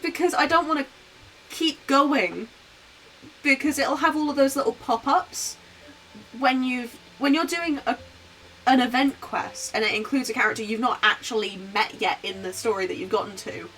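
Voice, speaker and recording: A woman's voice: sits high, moderate pace, a bit bright. A woman game streamer chatting casually over gameplay, rambling in a giggly way. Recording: slightly distant mic, music behind. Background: game music.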